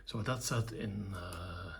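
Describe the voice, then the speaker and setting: An older man giving an informal technical demonstration, explaining things in short, halting, measured phrases. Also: deep voice, creaky, slight background noise. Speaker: electronics hobbyist presenting own project.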